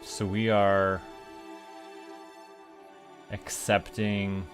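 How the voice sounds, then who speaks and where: A Canadian man with a fairly low voice hosts a gaming livestream. He gives casual commentary to his chat as he plays, with music clearly running behind him. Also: flat delivery, drawn-out words. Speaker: livestream host playing a space simulation game.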